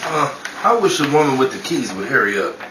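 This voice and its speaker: A man's voice: mid-range voice, very animated, a little fast, close to an everyday voice. A man acting a bumbling, joking, film-obsessed husband in a 1940s radio play, with a hesitant manner. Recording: far from mic, echoey, noisy background.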